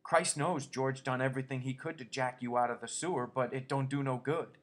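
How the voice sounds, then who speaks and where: A man's voice: medium pitch, fairly even intonation, a little fast, pitched above the performer's own voice, lightly acted. A man voicing a giant imaginary rabbit that taunts and sneers with scorn. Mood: scornful.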